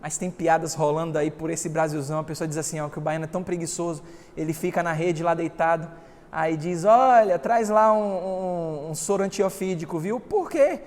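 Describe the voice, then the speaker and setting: A man's voice: a little high, normal speed, darkish tone. A man from Salvador, preaching a sermon as pastor, rising emphatically when reading scripture. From Salvador, Bahia, Brazil. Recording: long hall reverb.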